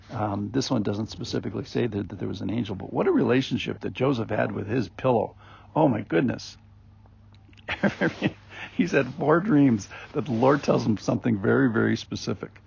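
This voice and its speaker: A man giving an informal daily Bible reflection as a podcast host, rambling and conversational with many ums and restarts, in a gravelly, husky voice. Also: lowish voice, darkish tone. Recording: dull lo-fi recording.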